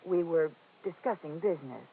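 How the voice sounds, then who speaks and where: Coy femme fatale, tinny old radio. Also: female voice, low voice, normal speed, breathy edge. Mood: explaining. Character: seductive, coy widow, glamorous femme fatale. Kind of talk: 1940s radio drama acting. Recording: tinny, phone-like 1940s radio recording.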